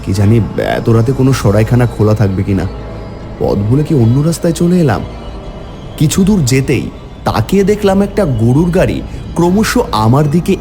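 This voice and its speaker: A man narrating a cartoon horror story in the first person, theatrical and exclamatory when voicing the dialogue. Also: medium pitch.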